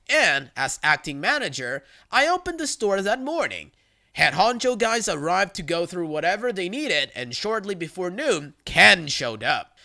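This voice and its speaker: High, very animated male story narrator. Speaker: narrator of online stories. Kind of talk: scripted first-person story narration.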